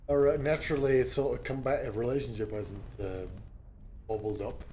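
A young man talks in a low-key, soft-spoken way, with a low buzzy edge to his voice. He is chatting casually as a podcast co-host over game sounds, with faint noise in the background. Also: steady tone, normal speed. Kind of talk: casual chat.

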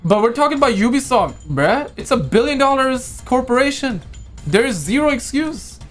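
A man's voice: very high-pitched, big pitch swings, moderate pace. A man reacts on stream from a home room through a headset, with faint music playing under his voice. He speaks English as a second language, leans on slang and repeats his punchlines mockingly. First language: not English. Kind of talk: casual reaction commentary.

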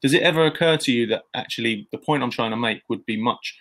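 A man in his thirties hosting an online event over a video call from a bright room at home, friendly and relaxed, with plenty of ums. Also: medium pitch, fast. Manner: friendly, relaxed, filler-heavy. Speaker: event host. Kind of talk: moderating a video call session.

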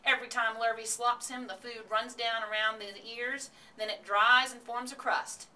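A woman's voice: fairly high pitch, steady tone, normal speed, bright, forceful tone, recorded from a distance, voice pushed up, poor audio. A woman voicing a determined farmer's wife in a storybook, emphatic and matter-of-fact. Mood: insistent.

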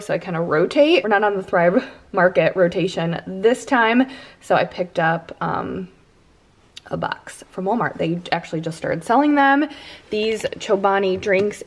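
An American woman in her thirties chatting casually to viewers as a lifestyle vlogger inside a house. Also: mid-range voice. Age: 30s.

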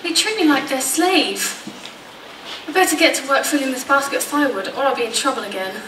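A woman playing a gentle, downtrodden young heroine in a stage pantomime. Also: sits high, expressive, fairly quick, a little hiss or hum. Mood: downhearted. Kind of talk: theatrical pantomime acting. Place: large hall stage, indoors. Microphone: far camera. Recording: echoey stage hall from far off.

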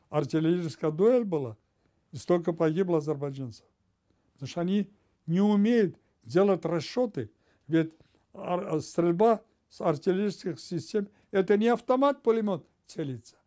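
A man's voice: high-pitched, dark tone. Older man, emphatic interview answers. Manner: emphatic, gesturing with a pointing finger. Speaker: retired general interviewed. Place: indoor study with bookshelves.

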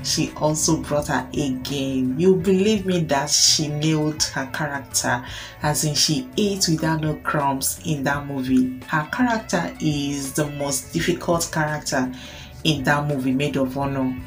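A woman hosting her channel, reviewing a film in an enthusiastic, chatty voice-over that calls viewers guys. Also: low-pitched. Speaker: channel host. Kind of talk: scripted entertainment review voice-over. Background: soft guitar music.

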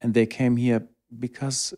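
A man from Germany speaking English, earnest and halting, often searching for words. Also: medium pitch, level tone, dark tone. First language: not English. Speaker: pastor and guest. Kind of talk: casual interview.

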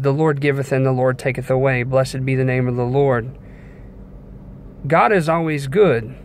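A young man speaks at a middling pitch, delivering a devotional message like an informal sermon. His manner is earnest and measured, with pauses between short phrases. He is recorded on a phone inside a car, with some background noise around the voice. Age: young adult. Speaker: preacher giving a devotional message. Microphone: phone at arm's length.

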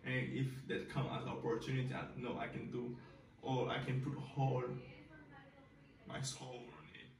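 A young man with a Haitian Creole accent talks through his job application, halting and repeating himself and tossing in "you know what I'm saying". His voice is fairly flat in pitch. It is recorded from across a small, echoey bedroom, so it sounds distant and roomy. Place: small bedroom with a desk and computer, indoors. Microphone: far camera, filmed from the side. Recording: echoey room, voice from afar.

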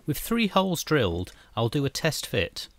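A middle-aged man calmly narrating a scripted woodworking how-to, step by step, as the channel's host. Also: medium pitch, normal speed. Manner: calm, methodical step-by-step explanation.

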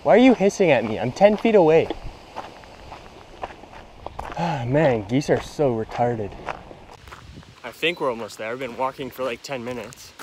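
A man's voice: medium pitch, expressive, normal speed, a little mellow, a little hiss or hum. A young man fishing at a pond, talking to himself in casual, sarcastic running commentary on a head-mounted camera. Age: young adult. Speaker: fishing vlogger. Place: outdoors by ponds in tall grass. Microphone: head-mounted action camera.

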